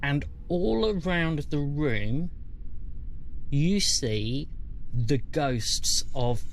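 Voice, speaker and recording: A man narrating a tabletop game as game master, setting scenes in a measured, descriptive way. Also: on the high side, lively intonation, creaky, a little hiss or hum.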